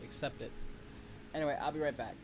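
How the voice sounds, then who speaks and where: A man's voice: on the high side, fairly even intonation, quick pace, vocal fry, poor audio. A man hosting a casual livestream, rambling and teasing his viewers in slangy talk. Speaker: livestream host and content creator. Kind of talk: casual livestream chat, reading and answering viewers. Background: music, rain ambience.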